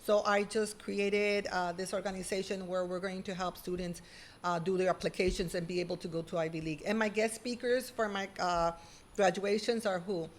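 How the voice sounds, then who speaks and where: A middle-aged woman, a guest on a video-call interview, telling stories in an animated, emphatic way. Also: mid-range voice, moderate pace, a little hiss or hum. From Puerto Rico. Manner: animated, emphatic storytelling with lively quoted asides. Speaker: guest, former school principal. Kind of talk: conversational interview.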